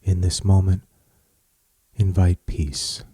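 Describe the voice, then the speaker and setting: A man with a low, mellow voice guides a meditation at a very slow, measured pace. He speaks soothingly in short phrases, leaving space between them. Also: level tone, breathy edge, no echo.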